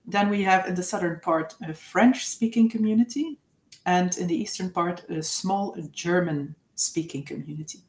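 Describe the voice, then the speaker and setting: A Belgian woman, Dutch her first language, giving an online university presentation in English with a Flemish accent, pausing often on filler sounds. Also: fairly low pitch. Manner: hesitant, many filler uhs. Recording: echoey room.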